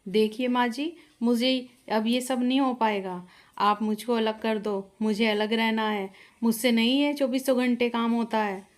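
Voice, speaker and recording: A woman's voice: fairly high pitch, fairly even intonation, a little slow, lightly acted. A woman voicing a fed-up, blunt young daughter-in-law in a moral story, with an emphatic, complaining manner. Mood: defiant, fed up.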